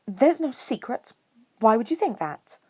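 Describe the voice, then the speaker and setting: Woman putting on a high, bright, bossy schoolgirl. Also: sing-song, unhurried, breathy edge, no echo, heavily acted. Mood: defensive. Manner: bright, emphatic, snippy. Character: studious, excitable, bossy schoolgirl.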